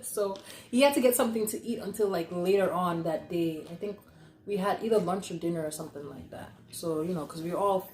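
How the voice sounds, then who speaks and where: A young adult woman telling stories casually and conversationally, animated and giggly, acting out what people said. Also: lowish voice, fairly quick. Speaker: podcast host telling stories. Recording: echoey, roomy sound.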